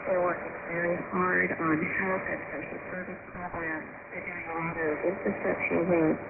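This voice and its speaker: A woman's voice: on the low side, level tone, normal speed, vocal fry, narrow, tinny audio, heavy background noise. A woman giving interview commentary as a policy expert, heard through a shortwave radio broadcast.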